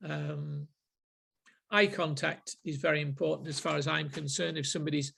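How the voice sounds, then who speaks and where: A middle-aged man with a Manchester accent, leading a group sales session in a deliberate, reflective way. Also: on the high side, vocal fry, fairly bright tone, slightly rough. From Manchester, England. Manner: deliberate, with frequent ums and restarts.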